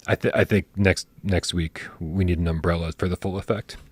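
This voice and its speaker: A man hosting a casual gaming livestream, talking in animated bursts, swearing casually and restarting his sentences. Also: sits low, brisk, breathy edge. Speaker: podcast host.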